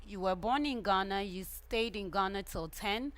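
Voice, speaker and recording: A woman's voice: mid-range voice, moderate pace, fairly bright tone. Woman interviewer asking short questions. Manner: brief acknowledgments, recaps answers.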